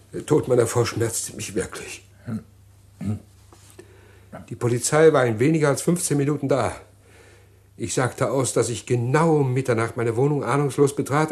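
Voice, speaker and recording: An actor playing a scheming, self-pitying husband who recounts his crime in a German radio play, deliberate and theatrical. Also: medium pitch, darkish tone, close to an everyday voice.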